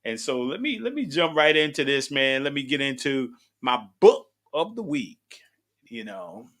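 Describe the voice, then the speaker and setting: A man's voice: medium pitch, very animated, unhurried, a bit bright. A man in his sixties hosting his podcast, chatting in an upbeat, rambling way with lots of fillers and restarts. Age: 60s. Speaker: podcast host. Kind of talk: casual chat.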